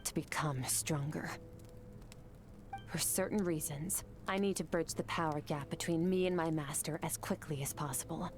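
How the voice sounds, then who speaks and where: An actress playing a calm, detached female swordmaster who speaks tersely and half-whispers at times. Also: low-pitched, moderate pace, soft background music, lightly acted. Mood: cool. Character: cool, composed female swordmaster from another world.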